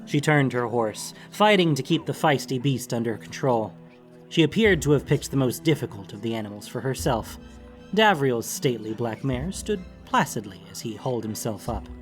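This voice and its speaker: A man's voice: medium pitch, expressive, normal speed. Male fantasy audiobook narrator over music. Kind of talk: scripted dramatic fantasy narration. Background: background music.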